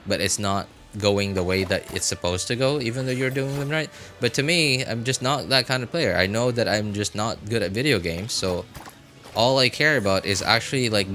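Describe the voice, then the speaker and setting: A man with a fairly low but bright, forceful voice talks casually as a livestream host. He rambles, circling back and rewording as he goes. Music plays in the background. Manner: rambling, repeats and reworks phrases. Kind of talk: casual live stream chat.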